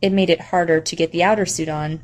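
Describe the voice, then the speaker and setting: A woman narrates a novel for an audiobook. Her voice is a little lower than most women's, and she reads scripted prose. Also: steady tone, fast talker.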